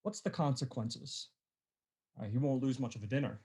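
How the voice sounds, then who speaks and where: An actor playing a kindly, good-humored nephew in a radio play, speaking in a jovial, earnest way. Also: male voice, medium pitch, moderate pace, darkish tone, husky, natural voice. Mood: good-natured.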